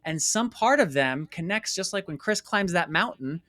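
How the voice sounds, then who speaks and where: A man's voice: high voice, animated, normal speed, sharp tone, dry recording. An American man speaking earnestly and emphatically as an interview guest, telling reflective personal stories and repeating words for stress. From United States. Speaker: interview guest, documentary filmmaker. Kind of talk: reflective personal storytelling in conversation.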